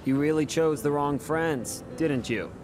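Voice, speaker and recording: A man's voice: on the high side, a little slow, over music, natural voice. A man voicing an earnest, idealistic young soldier hero in a video game, with a casual, open and emphatic manner. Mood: challenging, puzzled. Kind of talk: dramatic video game voice acting.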